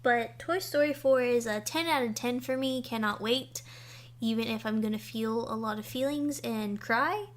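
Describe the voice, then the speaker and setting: A young woman co-hosting a casual podcast chat, excitable and gushing about things she loves. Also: a little high. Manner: excitable and gushing, quick to say she will cry. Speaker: podcast co-host.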